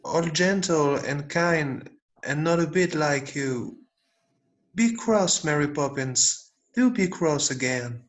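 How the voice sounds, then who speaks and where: Guy doing a sweet earnest little boy, slow delivery, bit high, amateur play reading. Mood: anxious, affectionate. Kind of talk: amateur script read-through.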